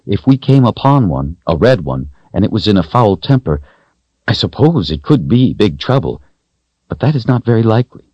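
An earnest, knowing woman from a fantasy storybook, as a man reads her. The voice is lifted above a man's natural register and moves in a lively way. She explains with firm emphasis. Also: lowish voice, moderate pace, slightly rough, no echo, dull audio, pitched above the performer's own voice. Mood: reassuring. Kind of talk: audiobook character voice.

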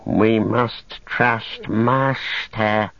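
A man voicing a wretched, wheedling, spiteful creature in a strained hiss, muttering in drawn-out broken phrases as in a storybook reading. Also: on the low side, steady tone, gravelly, a bit bright, lo-fi sound, full character voice. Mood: doubtful, suspicious. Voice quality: hissing, strained, whispery at times. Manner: drawn-out muttering, talks of itself in broken grammar.

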